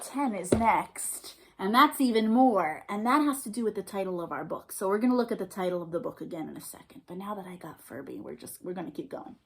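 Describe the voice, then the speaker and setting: A woman's voice: mid-range voice, lively intonation, brisk, hardly any pauses. A woman hosting an interactive storytime for young children, encouraging and playful, often asking her listeners questions. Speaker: children's storytime host and librarian. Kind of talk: interactive read-aloud and singalong for young children.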